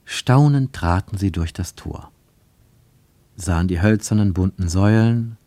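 A man reading a literary story as a scripted radio narration, with expressive, dramatic phrasing. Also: low voice, unhurried, no echo.

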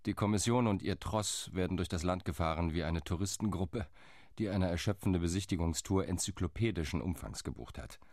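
An actor playing a delegation liaison in a radio play, speaking in a dry, ironic and composed way. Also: male voice, low-pitched, a little fast, darkish tone, no room sound.

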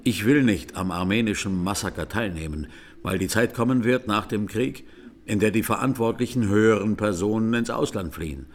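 An actor playing a grave Armenian clergyman who testifies in court in a solemn, deliberate way, in a radio drama. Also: lowish voice.

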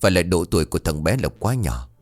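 A man voicing an older village woman healer who speaks slowly and solemnly. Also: sits low, animated. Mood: grave. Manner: slow, solemn, measured.

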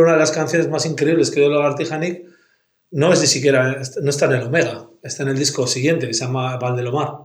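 Rambling man in an echoey room. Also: mid-range voice. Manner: rambling, argumentative, full of slang fillers. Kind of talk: casual music chat.

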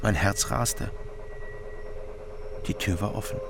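A man's voice: on the low side, takes it slowly, very noisy. A man playing a bitter, defiant East German prisoner who tells his story in a radio crime drama. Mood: tense, hushed. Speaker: narrator and lead character. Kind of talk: radio crime drama acting and first-person narration. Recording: music under the voice.

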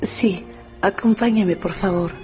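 An actress playing a reserved, courteous young niece in a radio drama. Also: female voice, mid-range voice, slow, darkish tone, natural voice. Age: young adult. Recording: music behind the voice.

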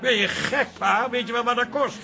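High-pitched, indignant pub landlord. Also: male voice, moderate pace, gravelly, bright, forceful tone, faint music behind, heavily acted. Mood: appalled. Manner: exasperated, old-fashioned exclamations. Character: indignant fretful pub landlord, grumbling middle-aged son. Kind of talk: Dutch radio drama acting.

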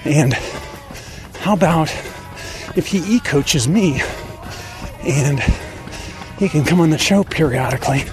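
A man's voice: fairly high pitch, unhurried, pauses often. Man hosting a podcast, music behind him. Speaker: podcast host. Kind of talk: conversational podcast monologue and interviewing.

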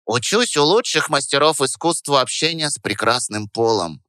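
Storybook rat sidekick, played by a man: squeaky, snide, preachy, lively swooping delivery, very dry studio sound. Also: moderate pace, clear, resonant tone, no echo. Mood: snide teasing. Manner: snide, mock-grand teasing. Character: sarcastic know-it-all male rat, teasing philosopher sidekick. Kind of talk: audiobook character voice.

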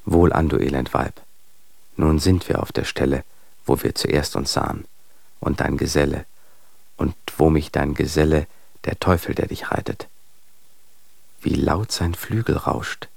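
Bitter scornful husband in a radio play, theatrical verse, super slow and gravelly. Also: male voice, very deep voice, steady tone, darkish tone, no room sound, heavily acted. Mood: bitter, brooding. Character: bitter embittered husband declaiming accusing verse. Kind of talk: radio drama verse declamation.

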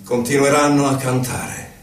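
An actor playing a solemn, impassioned poet who bears witness to the war dead, declaiming in a measured theatrical recitation. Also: male voice, mid-range voice, little pitch movement, slight background noise, close to an everyday voice. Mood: solemn, defiant. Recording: very echoey, distant, music accompaniment.

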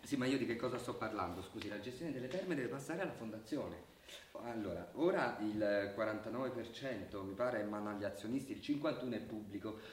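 An actor playing a determined, calculating but idealistic communications strategist in a radio drama, explaining firmly and persuasively. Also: male voice, lowish voice, expressive, a little fast, natural voice. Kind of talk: Italian radio drama acting. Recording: distant, echoey radio drama, faint noise.

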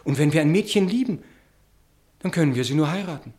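A man's voice: a little high, animated, fairly quick, darkish tone, lightly acted. An actor playing an earnest, dissatisfied romantic man, declamatory and theatrical in a 1960s German radio play. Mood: yearning, indignant.

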